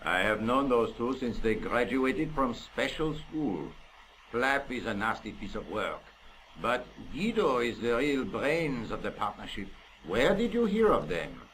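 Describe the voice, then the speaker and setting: A cultured French police inspector in a video game. He has a medium-pitched voice and a French accent, formal, proud and touchy, over some background ambience. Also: sing-song, a little slow, a bit bright, a little hiss or hum, poor audio. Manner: formal, haughty.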